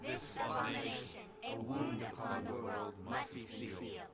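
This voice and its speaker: An actor playing a solemn, fervent spellcaster in a video game, declaiming a ritual incantation. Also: male voice, normal speed, natural voice. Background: loud music.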